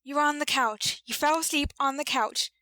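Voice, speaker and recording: Actress as fretful pleading woman, high voice, old-time radio drama acting, dry close sound. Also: moderate pace, sharp tone, no room sound. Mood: worried, pleading. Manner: worried, imploring. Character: anxious, pleading woman fretting over a missing boy.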